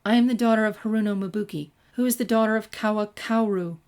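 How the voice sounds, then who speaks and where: A confident young female ninja from a fan fiction story , played by a woman reader. Her pitch sits lifted, and she talks in a forthright, eager way. She sounds bold and sure of herself. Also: steady tone, quick pace, darkish tone, close to an everyday voice. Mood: assured. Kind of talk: fan fiction character dialogue.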